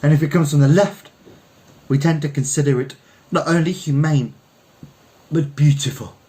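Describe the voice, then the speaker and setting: A man reading a story puts on an old French poet orating in public. He is forceful and insistent, with a raised pitch and firm, declamatory delivery. He speaks like a thinker addressing a street crowd. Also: normal speed, natural voice.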